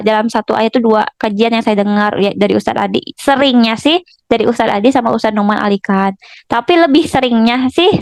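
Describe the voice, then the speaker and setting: High-voiced woman teaching informally. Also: dry recording. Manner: conversational, often seeking agreement with small tag words. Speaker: teacher of an online Quran journaling class. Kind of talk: informal lesson, explaining and recommending resources.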